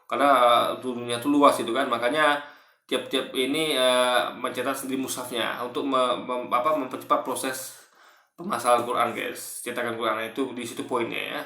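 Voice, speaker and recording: A man recording a casual reaction video in a bare, echoey room, pushing his points brightly as he stumbles and repeats himself. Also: mid-range voice, fairly even intonation. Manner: halting, repetitive, self-correcting. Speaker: video commentator. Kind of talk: casual spoken reaction commentary.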